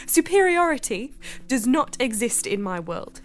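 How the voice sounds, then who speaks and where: Sheltered young lady, high voice, formal and wistful, period radio drama diary narrator with quiet determination. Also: big pitch swings, a little slow. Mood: wistful, reflective. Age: young adult.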